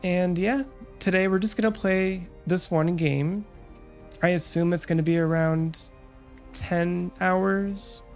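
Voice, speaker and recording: A young woman VTuber chatting calmly about her stream plans, her nose stuffed up from a cold, with lots of fillers. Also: on the low side, slow pace, faint music behind. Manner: calm, relaxed, rambling with many fillers. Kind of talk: casual stream chat.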